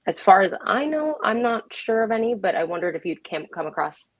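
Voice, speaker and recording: A woman's voice: mid-range voice, very animated, brisk, dry recording. A woman hosting an interview in a casual, informal way, relaying questions from the audience. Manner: informal, chuckles often. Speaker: host.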